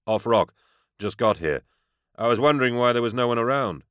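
A man voicing a calm, blunt bodyguard with a firm, direct manner in an audiobook. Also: mid-range voice, brisk, smooth, no echo, clean studio sound, voice pushed up, lightly acted. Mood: questioning.

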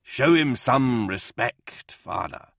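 A man voicing a stern, impatient and somewhat pompous military commissar in an audiobook, gruff and curt. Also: medium pitch, expressive, moderate pace, fairly bright tone, no room sound, clean studio sound, pitched above the performer's own voice. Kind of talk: audiobook character voice in military science fiction.

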